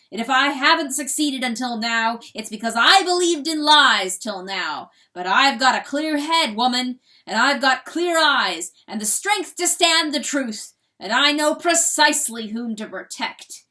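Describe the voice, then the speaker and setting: Woman voicing a booming, proud rooster. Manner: loud, proclaiming, contemptuous. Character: proud cold raging male rooster.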